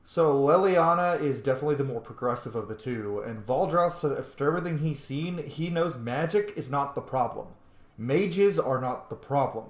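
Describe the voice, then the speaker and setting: A man's voice: mid-range voice, roomy sound. A man giving informal roleplay commentary over a video game, musing aloud about his character's choices. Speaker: gaming host, roleplayer.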